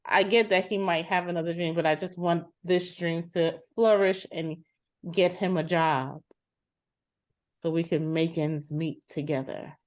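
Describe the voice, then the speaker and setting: A woman's voice: lowish voice, fairly even intonation, measured pace, natural voice. A woman playing a practical, frustrated sister in an improvised session over a video call, plain-spoken and insistent. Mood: frustrated, earnest. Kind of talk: improvised role-play dialogue. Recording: slightly muffled video-call audio.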